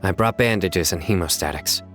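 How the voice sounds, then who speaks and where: Male officer for anime game, male actor: low-voiced, dutiful, protective, measured, businesslike. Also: over loud music, close to an everyday voice. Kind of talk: anime video game voice acting.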